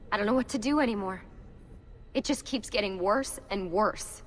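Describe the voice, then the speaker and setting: A woman's voice: medium pitch, takes it slowly, lightly acted. An actress playing a worried, weary young woman leading a secret team in a video game, speaking earnestly and with emotional strain. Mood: anxious, despairing. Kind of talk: naturalistic video game acting. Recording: clearly noisy ambient background.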